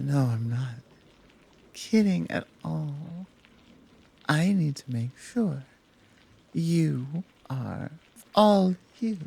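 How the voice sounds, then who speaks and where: Woman playing a slow, gentle, teasing Amazon healer. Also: sits low, vocal fry, breathy edge, full character voice. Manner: slow, soothing, coy, deliberate. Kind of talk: soft intimate ASMR roleplay.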